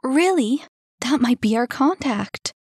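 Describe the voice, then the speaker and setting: Fields: Figure: anxious young woman voiced by a woman. Pitch: high. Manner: urgent. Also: lively intonation, normal speed, darkish tone, dry recording.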